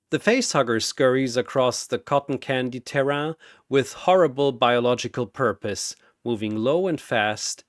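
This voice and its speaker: A man's voice: medium pitch, no echo, studio quality. A man delivering dramatic scripted narration as a storyteller, theatrical, with short suspenseful phrases.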